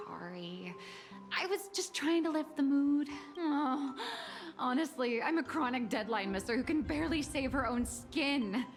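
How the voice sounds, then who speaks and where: A woman's voice: high-pitched, lively intonation, unhurried, faint music behind. An actress voicing a playful, teasing, self-deprecating young woman in an anime-style video game, chatty and theatrical. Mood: sheepish.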